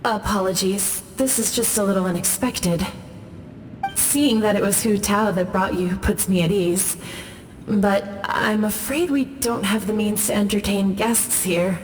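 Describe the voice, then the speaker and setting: A woman voicing a gentle young spirit who has waited for ages, talking softly and wistfully for a video game. Her pitch sits in a middle range and she speaks very slowly, as if lost in thought. A faint hiss lies under the voice. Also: close to an everyday voice, low-quality recording. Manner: calm, slow and reflective.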